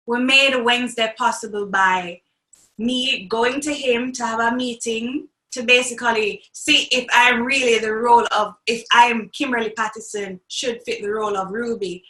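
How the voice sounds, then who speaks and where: A bright, fairly high voice from a young woman with a Jamaican accent. She talks animatedly and laughs often. The recording has a roomy echo. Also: fairly even intonation, normal speed. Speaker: actress, guest. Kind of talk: interview conversation. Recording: echoey room.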